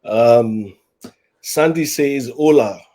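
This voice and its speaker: Male live-stream host at mid pitch, chatty and repetitive, greeting viewers. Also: very slow. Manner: warm-up chatter, repeats words, reads audience comments. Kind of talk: live-stream interview hosting.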